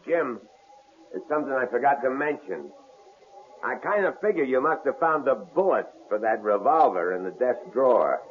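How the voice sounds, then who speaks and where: A man's voice: mid-range voice, expressive, normal speed, close to an everyday voice. An actor playing a menacing, cold-blooded male intruder in an old radio drama, taunting and leering. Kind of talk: 1940s radio acting. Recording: tinny old radio broadcast with faint hiss.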